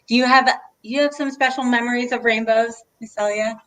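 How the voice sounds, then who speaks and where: A woman's voice: fairly high pitch, steady tone, brisk, a bit bright, poor audio. A woman hosting a read-aloud for children, speaking as a librarian in an encouraging way and putting questions to her listeners. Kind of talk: children's storytime chat.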